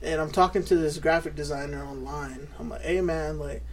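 A man's voice: fairly high pitch, steady tone, a little fast, slight background noise. A man co-hosting a podcast, chatting casually, laid-back and profane, saying "bro" constantly. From New Mexico. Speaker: podcast co-host. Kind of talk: casual chat.

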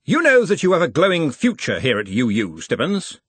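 Ridcully, a booming old wizard, put on by a man. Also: wildly swooping pitch, normal speed, a bit bright, slightly rough, no echo, full character voice. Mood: probing. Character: blustering elderly male wizard head of a university.

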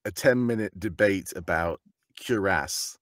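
A man from the United Kingdom, guesting as a voice actor on a relaxed podcast. He talks in an animated way, laughs a lot, and pops into comic voices when he quotes people. His sound is dry and close to the mic. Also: sits low, unhurried, no room sound. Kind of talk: casual podcast chat.

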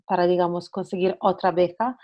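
A young Italian woman with an Italian accent, a podcast guest talking hesitantly with many pauses. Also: fairly low pitch, flat delivery. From Italy. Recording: dull lo-fi sound.

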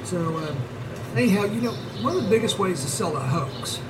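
A man in his fifties spins rambling stories in a high voice, tripping over fillers and restarts. He sits well back from the mic. Loud traffic rumbles underneath. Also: animated, a little fast, recorded from a distance. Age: 50s. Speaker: podcast host telling stories. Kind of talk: casual storytelling chat.

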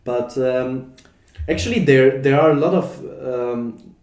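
A man's voice: mid-range voice, unhurried, darkish tone. A young man from Germany in casual podcast chat, talking with many fillers and restarts. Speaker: podcast guest. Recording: roomy echo.

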